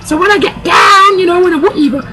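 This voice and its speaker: A loud, sassy older woman guest voiced by a performer rambles through her tale in a very high, piercing voice. She keeps calling people "child" and never lowers the volume for long. It is a comic talk-show sketch. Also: fast talker.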